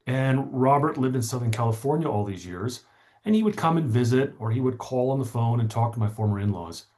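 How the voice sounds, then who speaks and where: A man's voice: medium pitch, lively intonation, a little fast, darkish tone. A middle-aged man speaking as an interview guest, explaining his story articulately and stressing points by repetition. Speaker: podcast guest, author.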